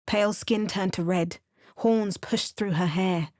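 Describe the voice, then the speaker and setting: A woman narrating a fantasy video game in measured, scripted storytelling. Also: medium pitch, steady tone, darkish tone, no echo, clean studio sound, natural voice.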